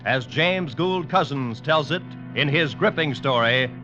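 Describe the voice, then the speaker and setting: A male announcer with a fairly high voice introduces a vintage radio drama in a declamatory style. Guitar music sounds clearly behind him in a dull, lo-fi broadcast. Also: lively intonation, unhurried, sharp tone.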